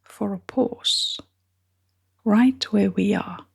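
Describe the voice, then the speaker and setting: A woman leading a guided meditation in a soft, half-whispered, breathy voice, with gentle, unhurried phrasing. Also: mid-range voice.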